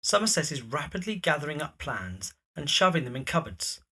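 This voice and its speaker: Bright-voiced male drama narrator. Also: on the high side, sing-song, a little fast, breathy edge.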